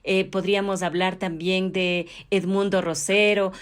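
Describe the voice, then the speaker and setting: A woman's voice: mid-range voice, little pitch movement, measured pace, smooth. A woman asks questions as an interviewer, conversational yet politely formal.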